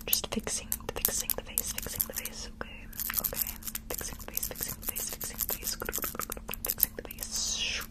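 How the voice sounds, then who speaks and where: A woman whispering softly and slowly in a soothing, repetitive ASMR style. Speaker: ASMR creator.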